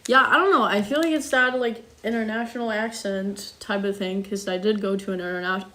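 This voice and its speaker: A young woman streaming live while she cooks, chatting casually and reading out viewer comments. She speaks international-school English with slight German touches and fills her talk with ums and quick apologies. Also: medium pitch, steady tone, sharp tone. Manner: chatty, self-deprecating, frequent ums and apologies.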